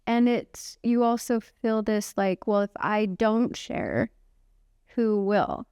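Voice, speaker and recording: A woman in her forties co-hosting a casual podcast chat, chatty and quick to agree. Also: a little high, fairly even intonation, warm tone, clear, resonant tone, no room sound, studio quality. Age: 40s. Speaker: podcast co-host.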